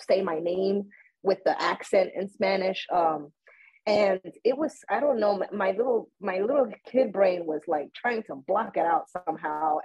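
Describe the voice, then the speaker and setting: A woman's voice: medium pitch, slightly rough. A woman in her thirties from Puerto Rico hosting a podcast, chatting casually and telling stories with lots of laughter. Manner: laughing, animated storytelling. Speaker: podcast host. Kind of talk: casual chat. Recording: muffled lo-fi recording.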